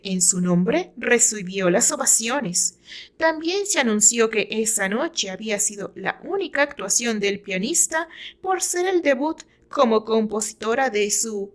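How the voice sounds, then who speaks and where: A woman with a Mexican accent reading her own fiction aloud as dramatic scripted narration. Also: fairly high pitch.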